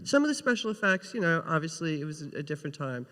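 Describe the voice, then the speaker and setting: A man with a fairly high-pitched voice shares casual anecdotes on a panel. He acts out little quotes as he goes, and his voice carries the long echo of a big hall. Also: big pitch swings, fast talker, fairly bright tone. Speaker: TV writer, panel guest. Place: large indoor convention panel room. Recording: echoey hall with long reverb.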